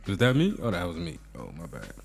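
A man's voice: sits low, wildly swooping pitch, quick pace, gravelly. A man with a cold and a nasal voice hosting a casual podcast, joking and bantering. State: sick, nasal. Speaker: podcast host. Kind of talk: casual chat.

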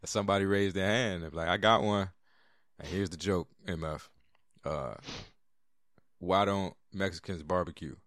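A man's voice: sits low, a little slow, pauses often, a bit bright, no room sound, studio quality. A man hosting a casual podcast, laid-back and rambling, often restarting his sentences. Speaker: podcast host. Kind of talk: casual chat.

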